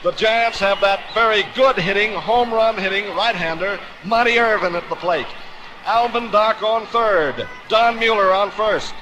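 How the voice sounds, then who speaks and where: Male vintage baseball play-by-play caller, higher-pitched, theatrical wording, noisy old radio sound. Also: measured pace. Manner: dramatic, florid phrasing. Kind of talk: 1950s radio sports commentary. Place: outdoor ballpark broadcast position. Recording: noisy 1950s AM radio broadcast.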